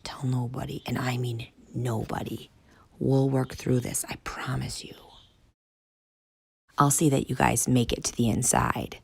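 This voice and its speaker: A woman's voice: little pitch movement, creaky, slightly rough, no echo, exaggerated voice. Woman doing Tank, a whispering giant guard. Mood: hushed urgent. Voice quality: deep, throaty, mostly whispered. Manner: hushed and hurried. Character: huge bald male guard, secretive and urgent. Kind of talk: audiobook character voice.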